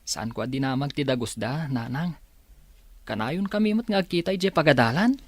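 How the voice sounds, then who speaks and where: A man's voice: mid-range voice, lively intonation, brisk, dry recording. A man playing a dutiful young student son talking with his mother in a Philippine radio drama. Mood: explaining. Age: young adult.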